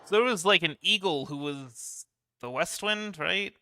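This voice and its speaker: Bright, forceful male game streamer. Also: fairly high pitch, measured pace. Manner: rambling, thinking aloud. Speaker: game livestreamer. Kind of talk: casual game commentary, reads dialogue aloud.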